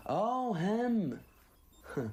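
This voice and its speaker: A man playing a quirky, upbeat time-travelling alien hero with a breezy, excitable manner in a sci-fi audio drama. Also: high voice, extremely slow, a little hiss or hum, exaggerated voice.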